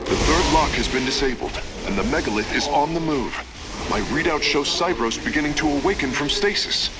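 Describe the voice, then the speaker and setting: Lively, formal alien engineer. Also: male voice, fairly high pitch, heavy background noise. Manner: precise, formal, technical reporting. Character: polite, studious male alien engineer, eager and deferential. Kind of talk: dramatic video game character acting. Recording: voice under loud game music.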